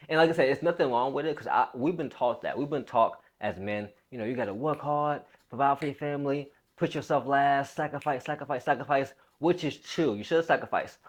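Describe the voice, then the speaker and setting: A man in his late twenties giving a casual motivational talk as a fitness coach, emphatic, repeating phrases and using informal bro slang. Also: mid-range voice.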